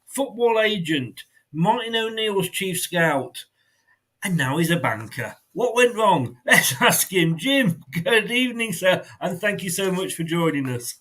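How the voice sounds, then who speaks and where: A man with a fairly high voice hosts an interview in a light, joking way. He laughs easily and keeps the talk upbeat while introducing his guest. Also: sing-song, a little slow, a bit bright. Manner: jokey and upbeat, laughs often. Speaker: host. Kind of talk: casual interview.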